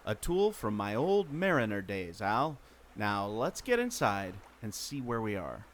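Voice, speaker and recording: A man's voice: fairly low pitch, very animated, unhurried. A man playing a resourceful, know-it-all former mariner who explains things emphatically in a radio adventure. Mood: purposeful. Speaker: podcast host acting in audio drama. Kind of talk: amateur radio adventure acting. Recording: noticeable ambient background noise.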